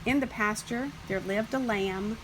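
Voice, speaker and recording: A woman reading a children's picture book as scripted narration, with expressive, deliberate phrasing. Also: mid-range voice, a little hiss or hum, poor audio. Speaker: storybook reader.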